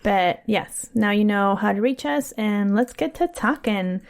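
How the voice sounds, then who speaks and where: A woman's voice: mid-range voice, measured pace, creaky. A woman co-hosting a casual podcast who keeps the conversation moving in an upbeat way. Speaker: co-host.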